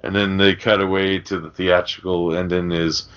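A man's voice: deep voice, little pitch movement, normal speed, fairly bright tone. A man co-hosting a podcast, discussing film casually in a rambling, sweary, conversational way. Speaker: podcast co-host. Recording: dull, lo-fi podcast audio.

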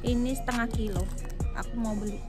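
A woman's voice: on the high side, fairly even intonation, a little fast, over loud music. A woman, chatting casually to viewers as she vlogs a shopping trip. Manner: chatty, addressing viewers as friends. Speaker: family vlogger. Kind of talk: casual shopping vlog chat. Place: outdoors by roads and car parks, then inside a supermarket. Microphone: handheld phone or camera at arm's length.

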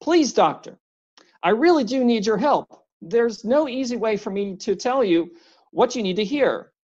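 A woman's voice: on the high side, animated, a little slow, darkish tone, slightly rough, lo-fi sound, pitched above the performer's own voice. A woman reading a storybook voicing a big, polite, anxious male professor who keeps apologizing and pleading.

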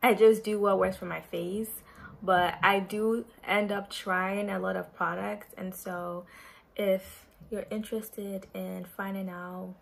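A woman's voice: mid-range voice, flat delivery, takes it slowly, creaky. A young woman from Ghana, talking casually to camera in a bedroom vlog, chatty and earnest with loose restarts. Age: young adult. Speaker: vlogger. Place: bedroom, indoors. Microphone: camera in front at close range.

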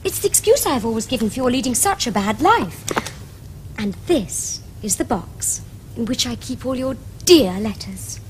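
High-voiced, prim English young lady. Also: very animated, moderate pace, slight background noise. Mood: dreamy, matter-of-fact. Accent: English Received Pronunciation. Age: young adult. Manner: precise, formal, earnest diction. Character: prim, romantic, self-possessed young Victorian lady. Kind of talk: period stage comedy acting for television.

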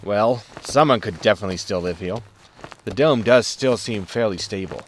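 A man's voice: lowish voice, moderate pace, a little hiss or hum, close to an everyday voice. A man playing a wry, exasperated spaceship captain in a sci-fi comedy audio drama, sarcastic and grumbling as he gives orders. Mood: wry, commanding.

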